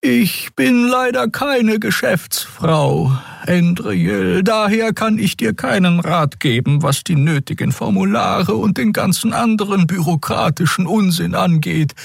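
A man voicing a polite female beaked creature in a fantasy audiobook, speaking in a measured, deliberate and courteous way. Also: high voice, gravelly, husky, no room sound, studio quality, voice pushed up, exaggerated voice. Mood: regretful, measured. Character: polite, measured beaked bird-like alien woman.

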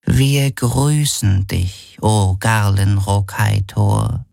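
A man voicing a humble, reverent old alien village elder woman in an audiobook, with a raspy, halting delivery. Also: a little high, sing-song, dry recording, studio quality, voice pushed up, heavily acted. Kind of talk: audiobook character acting.

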